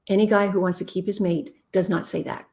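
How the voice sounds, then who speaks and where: Warm-voiced woman giving frank relationship advice. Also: fairly low pitch, steady tone, brisk, vocal fry. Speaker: relationship advice show host. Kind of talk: live-stream Q&A, reading viewer questions.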